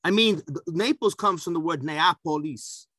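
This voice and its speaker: A man's voice: a little high, moderate pace, bright, forceful tone, breathy edge, no echo, clean studio sound. A talkative man co-hosting a food podcast, telling stories and jokes in a casual chat. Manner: talkative, joking storyteller. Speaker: podcast co-host.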